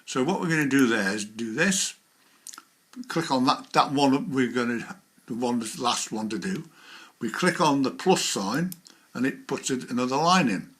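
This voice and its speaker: Bright-voiced man giving a hands-on demonstration. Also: mid-range voice, lively intonation, moderate pace. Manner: hands-on, talking through each click as he goes. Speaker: presenter of a how-to video. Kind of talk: informal step-by-step software demonstration.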